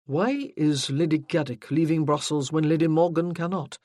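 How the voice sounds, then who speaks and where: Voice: medium pitch, moderate pace, no echo, clean studio sound, close to an everyday voice. An audiobook narrator voicing a courteous but steely nobleman with a French accent. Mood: firm. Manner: calm, firm, courteous.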